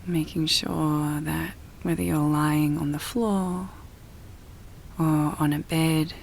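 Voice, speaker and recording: Very slow, soft-spoken woman guiding meditation. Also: low-pitched, steady tone, slight background noise. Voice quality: soft, breathy, often near-whisper. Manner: slow, soothing, with long pauses. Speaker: yoga nidra meditation guide. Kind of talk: guided relaxation meditation.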